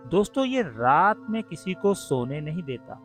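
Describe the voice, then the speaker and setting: A man's voice: fairly high pitch, big pitch swings, moderate pace. A man narrating a scripted cartoon story for children. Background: soft music.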